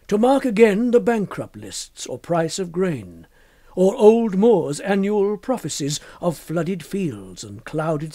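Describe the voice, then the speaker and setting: A man reading poetry aloud in an expressive literary style, with measured and deliberate phrasing. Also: high voice, no echo, clean studio sound. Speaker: poetry reader.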